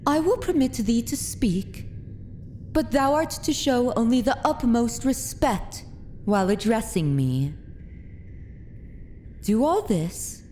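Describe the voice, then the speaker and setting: Woman voicing a haughty vampire lady, dominant, slow deliberate delivery, mellow tone, archaic fantasy ASMR roleplay. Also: fairly high pitch, slight background noise. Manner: imperious, archaic formal speech, deliberate and commanding. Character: haughty, dominant aristocratic vampire mistress of a manor.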